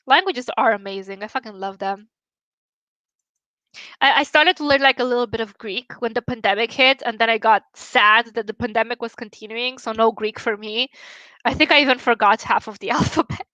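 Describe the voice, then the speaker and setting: A woman's voice: fairly high pitch, brisk, sharp tone, dry recording, highs cut off. A young woman from Romania with a Romanian accent, chatting candidly with her livestream viewers and swearing casually. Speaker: livestream host.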